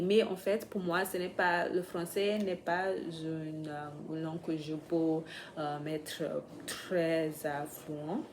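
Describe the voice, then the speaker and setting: A woman speaking French as a second language, talking unscripted in a vlog, pausing often and searching for words. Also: sits low, noisy background. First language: not French. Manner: hesitant, many pauses and fillers, searching for words. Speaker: French learner vlogger.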